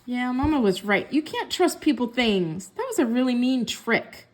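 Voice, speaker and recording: A woman's voice: a little high, very animated, moderate pace. A woman voicing a suspicious, grumbling male pet cat in a storybook, indignant and emphatic.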